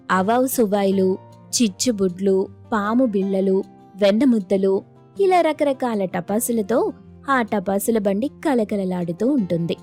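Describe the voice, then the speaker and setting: A woman's voice: mid-range voice, a little mellow. A woman narrating a scripted moral story as its storyteller.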